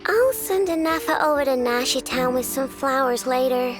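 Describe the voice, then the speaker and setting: A woman's voice: sits high, expressive, moderate pace. An actress playing a brash, cocky young female inventor in a video game, with a casual, slangy manner. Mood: sober, matter-of-fact. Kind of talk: video game cutscene voice acting. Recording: music behind the voice.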